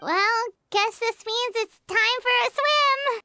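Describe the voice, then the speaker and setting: A woman voicing a tiny, eager, excitable girl companion with a squeaky voice in a video game. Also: takes it slowly, background noise, full character voice. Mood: amazed. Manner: squeaky, exclaiming. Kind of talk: video game character acting. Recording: music behind the voice.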